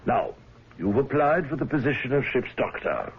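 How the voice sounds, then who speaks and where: Husky, animated whaling boss. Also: male voice, medium pitch, normal speed, heavily acted. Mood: brusque, amused. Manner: hesitant, avuncular, chuckling. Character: gruff, genial middle-aged whaling fleet owner. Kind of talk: old-time radio drama acting. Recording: dull, lo-fi old radio broadcast.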